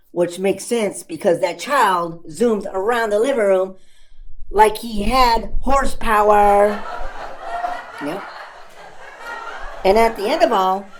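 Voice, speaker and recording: A woman voicing a cheeky young male puppet comedian telling exasperated, self-amused stand-up stories with deadpan punchlines and dramatic exaggeration. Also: medium pitch, takes it slowly, pauses often, natural voice. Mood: wry, fond.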